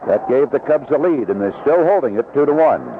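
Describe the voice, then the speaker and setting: A man's voice: mid-range voice, animated, brisk, phone-quality sound. A man calling baseball play-by-play on an old radio broadcast from a ballpark, mixing live commentary with promotional reads. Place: outdoor ballpark broadcast booth. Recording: band-limited vintage AM radio broadcast, noisy.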